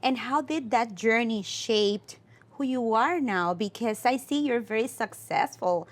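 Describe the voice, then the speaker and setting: A woman hosting an interview, asking questions in simple, sometimes halting phrasing. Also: fairly high pitch. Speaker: host.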